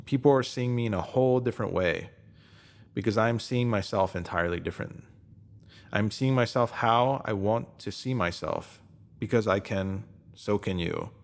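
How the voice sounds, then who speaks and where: A man with a fairly low voice narrates a motivational written piece. He reads earnestly and leans on key phrases for emphasis, in the manner of scripted self-help narration. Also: creaky.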